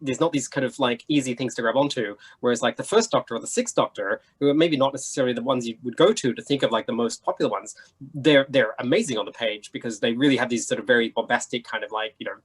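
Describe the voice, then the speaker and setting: A man's voice: medium pitch, fast talker, slightly rough. A man speaking as a convention panelist, explaining his points discursively in an informal discussion.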